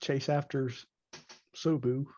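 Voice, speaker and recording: Male tabletop player, dark mellow tone, mid pitch, casual table talk, muffled lo-fi recording. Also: steady tone, unhurried. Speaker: player in a tabletop role-playing game. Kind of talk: casual game-table chat.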